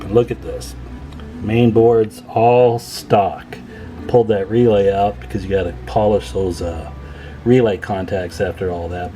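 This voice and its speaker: Man, lowish pitch, casual video host, chatty, folksy, enthusiastic bragging, hands-on repair commentary, music in the recording. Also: warm tone, low-quality recording.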